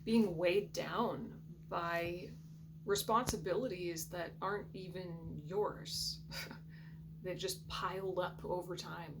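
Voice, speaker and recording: A woman giving a soft, reflective intuitive tarot reading in a groggy morning voice, often clearing her throat. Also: on the low side. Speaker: tarot reader. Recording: steady background hum.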